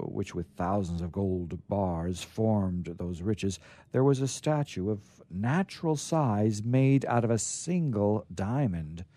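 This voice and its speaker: A man's voice: fairly low pitch, warm tone, no room sound. A man hosting a radio show, chatting casually and reading old stories aloud.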